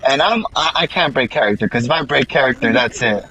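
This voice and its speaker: A man's voice: medium pitch, lively intonation, fast, bright, forceful tone, slight background noise, low-quality recording. A young man telling a rambling, slangy, profane story casually to his friends.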